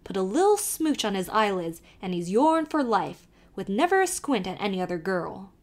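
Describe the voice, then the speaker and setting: Old peddler man as a woman puts him on, thick brogue, teasing, sly, animated pitch. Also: measured pace.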